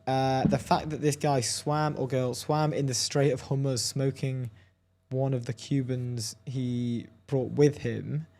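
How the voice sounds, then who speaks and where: A man co-hosting a casual talk show, animated and laughing often, reading out quotes. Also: mid-range voice, unhurried. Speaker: co-host. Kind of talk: casual chat.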